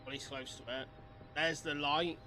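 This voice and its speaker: A man's voice: a little high, fairly even intonation, moderate pace, pushed and bright, a little hiss or hum, low-quality recording. A man giving a casual live-stream reaction, reading things aloud and commenting as he goes. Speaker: streamer reacting to horror videos.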